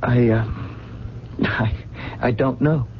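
An actor playing a weary, gentle amnesiac in a radio drama, with formal, educated diction and a halting, pensive delivery. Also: male voice, mid-range voice, fairly even intonation. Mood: uncertain. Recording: dull, noisy 1970s radio recording.

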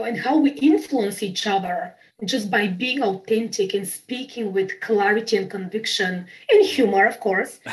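A woman's voice: fairly high pitch, low-quality recording. A woman in her thirties with a Bulgarian accent, a podcast guest chatting casually, bubbly and laughing often at herself. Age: 30s. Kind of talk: casual interview chat.

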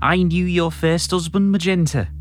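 A man voicing a menacing Liverpudlian villain who barks slow, deliberate threats in a Scouse accent. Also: medium pitch, lightly acted. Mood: growling threat.

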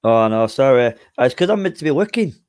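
A man with a British accent chatting casually on a podcast, his voice croaky. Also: mid-range voice, big pitch swings, fast talker, darkish tone. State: croaky voice this morning. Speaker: podcast guest or co-host. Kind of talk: casual chat.

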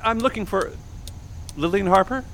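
A man's voice: high-pitched, normal speed, vocal fry, background noise. A man playing an officious, awkward and folksy mayor's assistant in an audio drama, with a chirpy salesman-like patter. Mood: cheerful, officious. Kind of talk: fiction podcast acting.

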